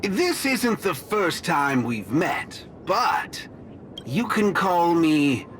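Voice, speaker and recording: A man's voice: on the high side, sing-song, slight background noise, full character voice. A man voicing a smug, scholarly ape professor villain in a video game, lecturing in a pompous, theatrical way. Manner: pompous, lecturing, slow and deliberate. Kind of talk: dramatic video game voice acting. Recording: loud music underneath.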